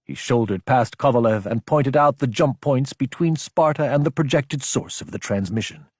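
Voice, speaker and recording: A man's voice: mid-range voice, normal speed, darkish tone, husky, no echo, studio quality. A man reading an audiobook as dramatic scripted narration.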